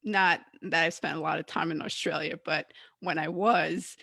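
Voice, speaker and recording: A woman's voice: low-pitched, steady tone, moderate pace, gravelly, sharp tone, husky, dry recording. A woman hosting a podcast interview in a casual, chatty style. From Canada. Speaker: podcast host.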